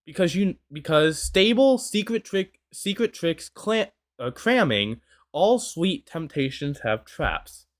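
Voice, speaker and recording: A man's voice: a little high, big pitch swings, slow. A twenty-year-old man from the United States giving casual, rambling reaction commentary, full of "you know" fillers. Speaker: YouTube reactor.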